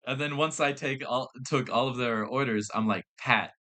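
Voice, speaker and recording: Laughing Southern guy, bright voice. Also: mid-range voice, moderate pace. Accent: American Southern. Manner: animated, swears often, laughs a lot, acts out quotes. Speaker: podcast co-host; fast-food worker. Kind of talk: casual chat, storytelling.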